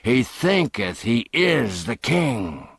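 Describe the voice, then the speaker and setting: A man's voice: mid-range voice, big pitch swings, takes it slowly, gravelly, a bit bright, husky, no echo, pitched above the performer's own voice, heavily acted. A man voicing a coarse, violent ruffian father who blusters and threatens. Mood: scornful. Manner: blustering, menacing.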